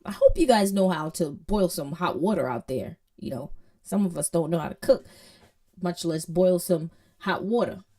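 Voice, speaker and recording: A woman's voice: lowish voice, animated, normal speed, mellow. A woman from Jamaica with a Jamaican accent, teaching a coding lesson in a playful, chatty way and often checking in with her listeners. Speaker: coding tutor. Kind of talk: casual screen-share tutorial.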